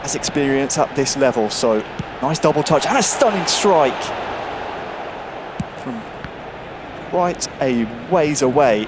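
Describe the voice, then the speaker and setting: A man doing live play-by-play commentary on an esports football match, rising with excitement on goals. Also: mid-range voice, slow pace, long pauses, background noise. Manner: lively, builds excitement on goals.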